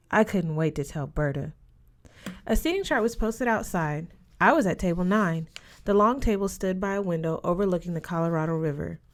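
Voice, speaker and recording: A woman reading a young adult novel aloud as scripted narration, with expressive delivery. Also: on the low side. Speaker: audiobook reader.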